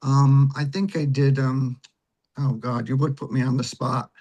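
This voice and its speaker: Husky older man, emphatic interview guest. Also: medium pitch, level tone, a little slow, warm tone. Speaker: stage actor and director, guest. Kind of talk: conversational interview talk.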